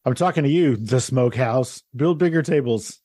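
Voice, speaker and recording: A man's voice: mid-range voice, moderate pace, mellow, no echo, clean studio sound. A man hosting a podcast, chatting in a friendly way and laughing often. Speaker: podcast host. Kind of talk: casual interview chat.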